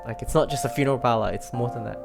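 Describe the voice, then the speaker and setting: A woman's voice: extremely low pitch, very fast, vocal fry, loud music behind the voice. A woman streaming a game, giving casual commentary and musing aloud informally. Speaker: game streamer reacting to a story quest.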